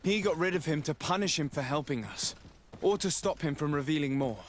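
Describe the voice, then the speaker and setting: An actor playing a calm, authoritative male diplomat in a video game, speaking formally. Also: on the high side, a little hiss or hum, close to an everyday voice. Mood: urgent. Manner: measured, formal.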